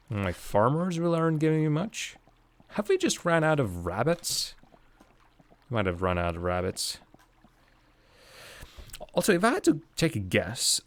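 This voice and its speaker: A young man from Latvia, not a native English speaker, thinking aloud as he plays a game on a livestream and answers chat. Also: medium pitch. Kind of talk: casual live gameplay commentary.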